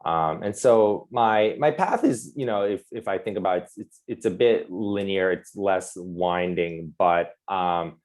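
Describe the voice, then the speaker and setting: Halting young man on a video call. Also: low voice, darkish tone. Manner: halting, many fillers and restarts. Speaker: webinar panelist. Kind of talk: casual webinar talk.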